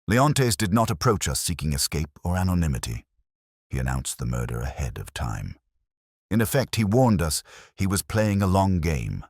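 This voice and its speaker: A man voicing a cool, brooding detective in an audiobook, musing in measured phrases. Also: sits low, big pitch swings, no room sound, studio quality, exaggerated voice. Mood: deducing. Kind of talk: audiobook detective story dialogue.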